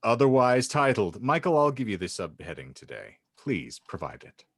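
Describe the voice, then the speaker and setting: A man's voice: medium pitch, sing-song, moderate pace, no echo, clean studio sound. A man hosting a comedy podcast and narrating, with a mock-solemn, wry, theatrical delivery. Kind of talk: comedic banter and dramatic story reading.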